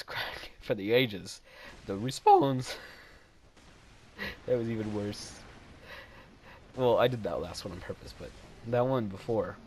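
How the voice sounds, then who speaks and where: A young man talks over his gameplay in a loose, rambling way, laughing at his own jokes and apologizing for them. His voice sits a little low, relaxed and self-deprecating. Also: slight background noise. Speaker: gameplay commentator. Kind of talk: casual game commentary voice-over.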